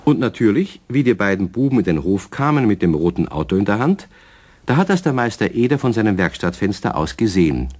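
An actor as the storyteller of a children's radio play, narrating in a husky voice with a southern German accent. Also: male voice, fairly low pitch, sing-song, brisk, a little mellow. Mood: storytelling.

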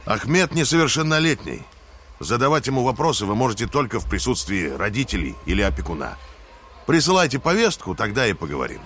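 A man's voice: medium pitch, big pitch swings, brisk, a bit bright, slight background noise. A man voicing a guarded middle-aged pizzeria owner who speaks in a coolly formal, sly way. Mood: cool refusal. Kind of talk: audio drama acting.

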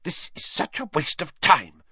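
A man's voice: fairly high pitch, wildly swooping pitch, measured pace, slightly rough, dry recording, muffled recording, voice pushed up, exaggerated voice. A man voicing an impatient, bossy teenage schoolgirl who speaks sharply. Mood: exasperated. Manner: sharp, exasperated.

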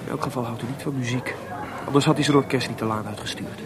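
An actor playing a lively, chatty young composer in a Dutch-language radio drama. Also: male voice, mid-range voice, fast, a crowd in the background, close to an everyday voice. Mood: conversational. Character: lively, worldly young composer Mozart, anxious about his audience.

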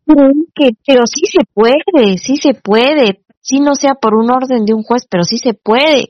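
A dull, muffled phone-call recording with a dry, close sound. A woman with a fairly high voice chats informally. She is insistent and tends to repeat short phrases. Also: measured pace, dry recording. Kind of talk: casual private phone conversation. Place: phone call, one side heard.

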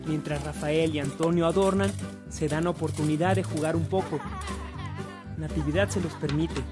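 A man with a Mexican accent narrating a saint's life in a scripted radio drama, in a measured storytelling manner. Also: on the high side, steady tone, music in the background, natural voice.

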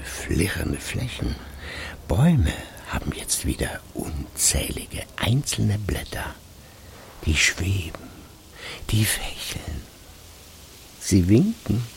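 A man's voice: deep voice, expressive, slow pace, creaky, in a whisper, heavily acted. An actor playing a wry, self-satisfied retired radio editor in his sixties, musing theatrically to himself in a radio play. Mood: dreamy. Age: 60s. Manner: musing to himself, theatrical shifts between murmur and exclamation. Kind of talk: German radio play monologue.

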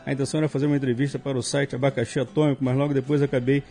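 A man's voice: mid-range voice, level tone, very fast, mellow. A man with a Brazilian accent hosting live radio, reading listener emails aloud with jokey asides. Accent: Brazilian Portuguese. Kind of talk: casual live radio chat.

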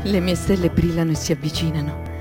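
A woman playing a lonely, searching young girl in a poetic radio drama, speaking intimately in a husky voice. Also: sits low, fairly even intonation, fast, loud music behind the voice, lightly acted. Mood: dreamy. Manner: intimate, lyrical, questioning.

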